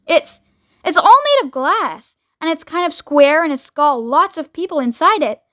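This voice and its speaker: A woman's voice: sits high, big pitch swings, moderate pace, pushed and bright, no echo, studio quality, pitched above the performer's own voice, exaggerated voice. A woman voicing a flustered, cheeky male astronaut in a children's audiobook, blurting things out with emphasis. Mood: alarmed.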